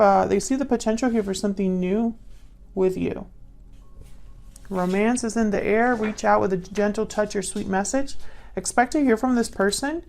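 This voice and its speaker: A woman giving a casual intuitive tarot reading to viewers, musing and pausing as she thinks aloud. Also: mid-range voice, vocal fry, a little mellow. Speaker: tarot reader.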